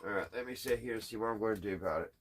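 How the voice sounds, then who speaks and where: Man, lowish voice, folksy rambling repair chat, halting and puzzled, faint noise with clicks. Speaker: host of a radio repair hobby channel. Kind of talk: casual hands-on repair chat, thinking out loud.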